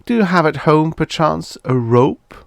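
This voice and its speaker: A man performs a cheerfully callous businessman in an audiobook tale. His delivery is airy and commanding, lifted in pitch with lively swings, and the sound is dry and close. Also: a little slow, vocal fry, no echo. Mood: coaxing, smug. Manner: chummy, airy, casually commanding. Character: smug, cheerfully callous scheming businessman narrator.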